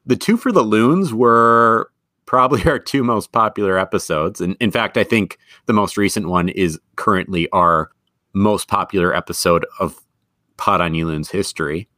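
A man co-hosting a sports podcast, talking in an easy chatty way and quick to laugh at himself. His voice sits a little low. The recording is dry and close, with no room sound. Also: pushed and bright, no room sound. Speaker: podcast co-host. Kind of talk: casual sports podcast chat.

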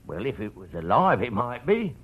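A man's voice: mid-range voice, big pitch swings, moderate pace, lightly acted. Man playing a sneering, swooping tough-guy landlord. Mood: nosy, sardonic. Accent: American. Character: menacing, sardonic male rooming-house landlord, bird fancier. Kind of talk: 1940s-50s radio noir acting. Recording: muffled old radio recording, faint noise.

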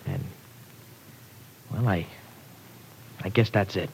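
An actor playing a young, wayward streetwise man with rough, slangy, humble talk in an old radio drama. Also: lively intonation, takes it slowly, heavily acted. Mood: awkward, pleading. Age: young adult. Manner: slangy, ungrammatical tough-kid talk. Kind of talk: 1940s radio drama acting. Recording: noisy old radio recording with rumble.

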